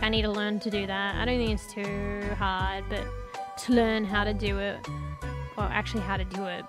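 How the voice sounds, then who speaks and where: A woman streamer chatting casually with her viewers, rambling with lots of fillers and the odd swear, her voice going a bit hoarse. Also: medium pitch. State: losing her voice, coughing. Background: music.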